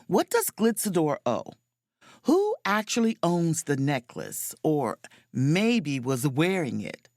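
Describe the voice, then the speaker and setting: A woman playing a wry, self-possessed spaceship officer, narrating her own adventure in an expressive, sardonic audio drama style. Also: low-pitched, takes it slowly, no room sound, exaggerated voice. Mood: curious, scheming. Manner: dry, sardonic, expressive storytelling.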